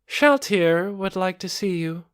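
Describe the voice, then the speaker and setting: A devoted horned demon woman played by a male audiobook narrator, pitched higher. She is courteous and deferential, every phrase offered as a loyal servant to her lord. The sound is dry. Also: animated, unhurried, a bit bright, clear, resonant tone, dry recording. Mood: dutiful. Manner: deferential, polite. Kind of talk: audiobook character voice.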